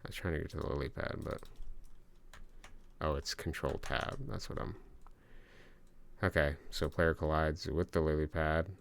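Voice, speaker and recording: A man's voice: steady tone, normal speed, gravelly, pauses often, a little hiss or hum. A man streaming himself programming a game, talking through the code step by step with self-mocking asides to his chat, in a soothing voice. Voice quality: soothing low voice. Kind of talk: live-streamed coding, thinking aloud. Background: keyboard typing and clicks.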